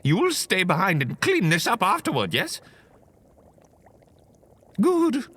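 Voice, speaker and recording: High-pitched, pompous, swooping professor. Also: male voice, wildly swooping pitch, fairly bright tone, slightly rough, heavily acted. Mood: stern, suspicious. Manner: pedantic, halting, theatrically emphatic. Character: pompous, stern, long-winded male professor of herbology. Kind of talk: fantasy audio roleplay acting.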